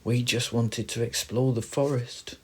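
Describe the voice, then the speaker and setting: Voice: medium pitch, fairly even intonation, measured pace, breathy edge, pitched above the performer's own voice. A man voicing a sensible, bold little hamster in a children's story, with a matter-of-fact manner.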